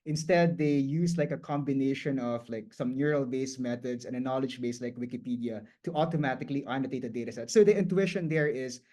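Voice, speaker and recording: A man who grew up speaking Tagalog gives a guest lecture at an ordinary, mid-range pitch. He explains things casually, reaching for words and leaning on "um" and "like" as he restarts his phrases. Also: brisk, hardly any pauses, mellow. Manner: loose and conversational, frequent "um" and "like", restarts mid-phrase.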